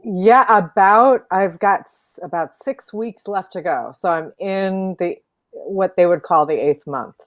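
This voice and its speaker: A woman from Illinois, an opera singer, chatting as an interview guest over a video call, thoughtful with many fillers and soft laughs. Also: on the low side, unhurried. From Illinois, USA. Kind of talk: casual interview chat.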